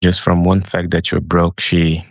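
A man calling in for advice, speaking casually in a hesitant, halting, deferential way. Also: deep voice, completely flat delivery, gravelly, no echo, highs cut off. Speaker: caller seeking advice. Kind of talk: casual conversation.

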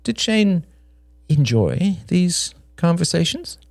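A man voicing a nervous but defiant younger man in an audiobook drama, stammering and halting as he protests. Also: a little high, sing-song, creaky, darkish tone, no echo, clean studio sound, voice pushed up, heavily acted. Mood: nervous, probing.

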